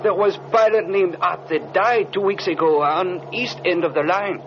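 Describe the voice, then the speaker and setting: An actor playing a chatty, deferential French co-pilot with a French accent in an old radio drama, talking in hesitant questions. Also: male voice, high voice, normal speed. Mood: curious, uneasy. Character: chatty, eager French-speaking airline co-pilot, polite and deferential. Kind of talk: 1940s radio drama acting. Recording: dull, noisy old radio recording, engine drone.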